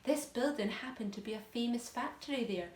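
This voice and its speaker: A woman reading a children's story aloud like a teacher to pupils, in a dramatic, emphatic, expressive storytelling style. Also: a little high, a little fast, reverberant.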